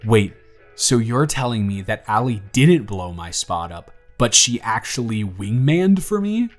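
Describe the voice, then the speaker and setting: A man voicing a young single father in his twenties, speaking low and soft with an earnest, slightly flustered warmth. He talks in a gentle audio roleplay with faint music underneath. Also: very animated, measured pace. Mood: tender. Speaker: father confessing feelings to the babysitter.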